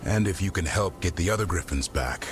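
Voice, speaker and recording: An actor playing a wary, plain-spoken monster hunter in a fantasy video game, with loud music over his voice. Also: low voice, expressive, a little fast, noisy background. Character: gruff, wary male monster hunter, devoted to griffins.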